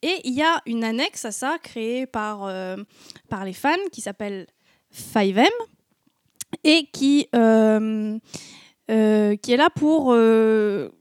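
A woman's voice: a little high, a little mellow, no room sound, studio quality. A young woman on a panel, talking in a frank and casual way about her gaming. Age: young adult. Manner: frank, casual, laughs at herself. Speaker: panel guest, gamer.

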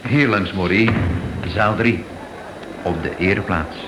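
A low-pitched male official in a radio drama. He is courteous and eager, with a polite, slightly officious manner. The recording carries a faint hiss. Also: slow pace. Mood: eager, welcoming. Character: courteous, eager male monuments inspector and exhibition president. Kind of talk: radio play acting.

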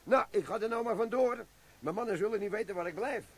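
An older actor playing a greedy, lazy army sergeant in a radio drama, chatty and pleased with himself. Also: male voice, high-pitched, quick pace, breathy edge. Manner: chatty and self-satisfied, grumbles about work.

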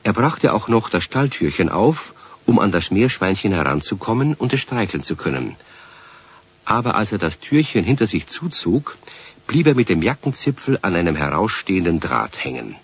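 A man narrating a children's radio play as a calm, expressive storyteller, taking his time. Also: deep voice, moderate pace, slightly rough, full character voice. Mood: storytelling. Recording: slightly dull vintage radio recording.

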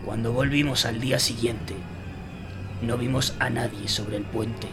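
An actor playing a haunted sea captain who tells his ghost story in a brooding, deliberate, suspenseful way for an audio drama. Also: medium pitch, fairly even intonation, natural voice. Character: haunted old sea captain recounting a ghost ship tale. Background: prominent music.

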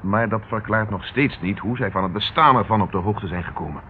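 An actor in a radio drama playing a calm, methodical police inspector with a businesslike, questioning manner. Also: male voice, fairly low pitch, a little fast, natural voice. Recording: tinny, noisy old radio recording.

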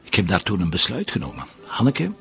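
An actor playing a weary middle-aged Flemish police commissioner, gravelly and coaxing, in a TV drama. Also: male voice, sits low, huge pitch swings, a little fast, a bit bright, exaggerated voice. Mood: tender. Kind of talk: TV drama acting. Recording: dull lo-fi TV audio.

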